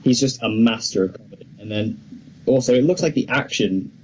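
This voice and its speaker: A man's voice: medium pitch, fairly quick, slight background noise, rough recording. A young man co-hosting a podcast, talking casually and chattily about films with firm opinions. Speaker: podcast co-host. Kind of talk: casual film discussion.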